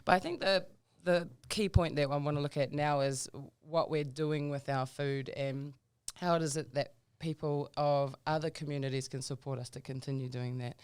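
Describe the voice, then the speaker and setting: Female guest, New Zealand accent, clean lower-pitched tone, informal conversational delivery. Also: steady tone, normal speed, hardly any pauses, darkish tone, clear, resonant tone, no echo. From South Auckland, New Zealand. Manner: informal, mixing in Māori greetings. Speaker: podcast guest, charity founder. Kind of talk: casual interview talk.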